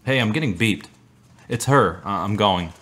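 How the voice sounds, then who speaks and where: A man acting a mild, apologetic, dryly self-pitying boyfriend in a low-key radio drama performance. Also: lively intonation, normal speed, bright, forceful tone. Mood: deflated. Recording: clearly noisy, clicky amateur recording.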